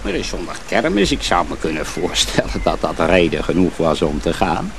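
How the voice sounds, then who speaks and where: A man's voice: low voice, big pitch swings, moderate pace, creaky, background noise, full character voice. A man acting in a radio drama as a sly, domineering master miller with a probing, threatening authority.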